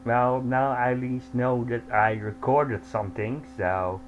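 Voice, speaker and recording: Slow-talking man over faint hum. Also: mid-range voice, fairly even intonation, dull audio. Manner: explains slowly, halting restarts. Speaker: host. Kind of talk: casual online chat. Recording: faint mains hum behind.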